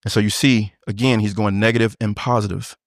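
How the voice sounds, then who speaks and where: A man with a deep voice, a pastor preaching an earnest expository sermon in church and turning aside now and then to talk to the congregation. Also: steady tone, brisk, no room sound, studio quality. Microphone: microphone.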